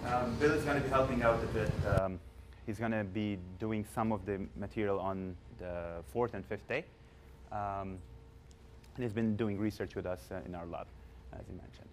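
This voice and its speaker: A man's voice: fairly low pitch, moderate pace, a little hiss or hum. A young man leading a class, chatty and upbeat, often asking the students questions. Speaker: course instructor. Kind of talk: informal classroom teaching.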